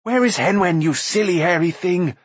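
An impatient young man, as a male narrator puts him on, high and sharp with exasperation. The voice is slightly husky and recorded close and dry. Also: normal speed, no echo. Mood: exasperated. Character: impatient young man, hot-tempered youth.

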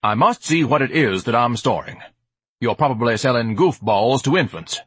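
A man's voice: mid-range voice, expressive, moderate pace, husky, no echo, voice pushed up. A man voicing a pompous, indignant, gluttonous educated man with grandiose, haughty diction in an audiobook. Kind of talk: audiobook character acting.

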